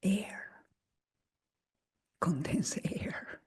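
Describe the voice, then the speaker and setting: A woman leading a guided meditation in a breathy, husky near-whisper, speaking gently in short phrases with long pauses. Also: mid-range voice, expressive. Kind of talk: slow guided meditation talk.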